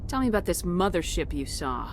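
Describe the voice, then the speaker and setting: An actress playing a commanding, no-nonsense space soldier in a video game, questioning people directly. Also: female voice, on the low side, big pitch swings, fairly quick, a little hiss or hum. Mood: businesslike. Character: brisk, commanding female space soldier hero. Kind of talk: dramatic game dialogue.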